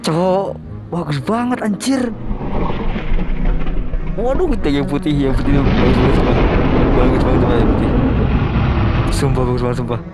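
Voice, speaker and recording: A man's voice: mid-range voice, normal speed, long pauses, low-quality recording. An Indonesian man hosting a reaction video, commenting casually in slangy, easily impressed exclamations. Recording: music playing behind the voice.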